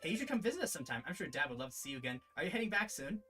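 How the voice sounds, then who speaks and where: Man voicing a bright, chatty, boastful young woman. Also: on the high side, very animated, very fast, soft background music. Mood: friendly. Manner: chatty, eager, playful. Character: cheerful, boastful young female curse come to life. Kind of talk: visual novel character read-aloud.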